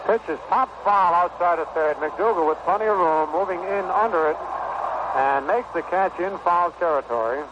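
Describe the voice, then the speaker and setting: An American man calling baseball play-by-play in 1960s radio style, in measured, short phrases with pauses. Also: high-pitched. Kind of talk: 1960s radio sports commentary. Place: stadium broadcast booth. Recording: noisy dull vintage 1960 radio broadcast.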